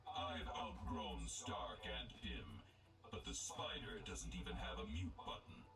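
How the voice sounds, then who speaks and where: A man's voice: mid-range voice, wildly swooping pitch, a little slow. A man playing a cold, arrogant robot supervillain in a video game, speaking with formal disdain through a synthetic filter. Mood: cold, dismissive. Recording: loud music behind the game voice.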